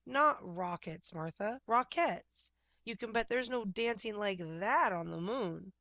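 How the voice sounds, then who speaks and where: An exasperated farmer in a children's storybook, played by a woman reader, pleading and coaxing. The delivery is emphatic and bright, recorded dry. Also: medium pitch, animated, a little slow, no echo, lo-fi sound. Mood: explaining. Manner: emphatic, exclaiming. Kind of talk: picture book character voice.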